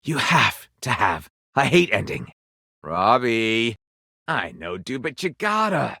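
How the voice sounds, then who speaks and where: Gravelly, theatrical game developer. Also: male voice, mid-range voice, sing-song, takes it slowly, bright, forceful tone, dry recording, clean studio sound, heavily acted. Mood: flustered. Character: weary, theatrical, fussy male game developer. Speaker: game director character. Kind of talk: video game voice acting.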